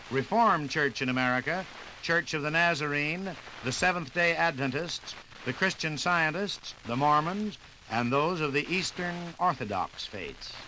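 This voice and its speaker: A man's voice: on the high side, pushed and bright. A man reading scripted 1950s radio documentary narration in a formal, careful announcer's delivery. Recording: noisy 1950s radio record.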